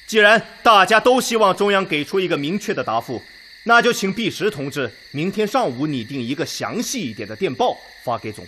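An actor in a Chinese radio drama playing a steady, plain-spoken, authoritative Red Army commander with a deliberate manner. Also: male voice, on the high side, a little hiss or hum.

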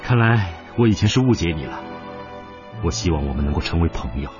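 Casting: devoted middle-aged dad, earnest radio-drama delivery, highly animated pitch, music behind. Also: male voice, fairly quick. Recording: muffled radio drama recording, music underneath.

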